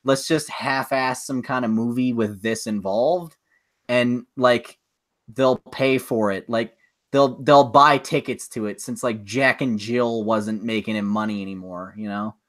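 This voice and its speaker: A man's voice: mid-range voice, measured pace, fairly bright tone. Sweary, ranting man. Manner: ranting, sweary, filler-heavy. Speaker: podcast co-host. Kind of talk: casual chat.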